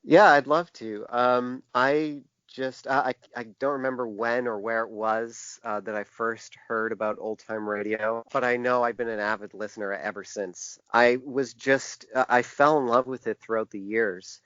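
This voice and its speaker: A man answering interview questions as a designer, in a conversational but halting way, often restarting his phrases. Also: mid-range voice, fairly even intonation, fairly bright tone, smooth, dry recording. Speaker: podcast guest, book designer. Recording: dull, band-limited lo-fi sound.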